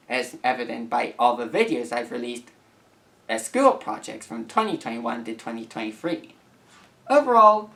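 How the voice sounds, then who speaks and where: A young man in his late teens speaking as a video creator in a casual vlog, reading messages aloud in an earnest, careful, halting way. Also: fairly high pitch, a bit bright.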